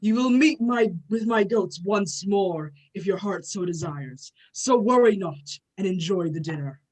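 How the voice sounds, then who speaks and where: A woman's voice: mid-range voice, animated, a little slow, darkish tone, rough recording. A woman playing a courteous but short-tempered male god with formal, grandiose speech in a play reading. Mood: weary formal.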